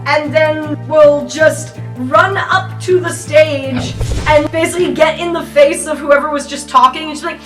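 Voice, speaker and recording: A woman's voice: sits high, unhurried. A woman talking casually as a player in an improvised tabletop role-playing game. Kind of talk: casual improvised roleplay talk. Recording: roomy sound, faint music.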